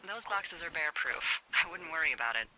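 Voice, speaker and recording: An actress playing a wry, bossy, foul-mouthed fire lookout supervisor in a video game, teasing and casual over a handheld radio. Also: female voice, low-pitched, animated, a little fast, pushed and bright, a little hiss or hum, exaggerated voice, rough recording. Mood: wry, matter-of-fact. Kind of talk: naturalistic video game dialogue.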